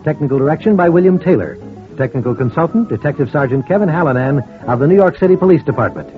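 A man's voice: a little high, lively intonation, quick pace, soft background music. A man announcing a vintage radio drama in a dramatic, formal mid-century style. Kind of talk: 1940s-50s radio announcing. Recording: dull, muffled old radio recording.

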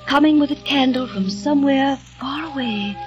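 A woman's voice: sits high, moderate pace, dull audio, music in the background, natural voice. A woman playing a yearning 18th-century lady. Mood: pleading. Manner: soft, yearning, pleading. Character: gentle young 18th-century English lady in love. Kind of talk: 1940s radio acting.

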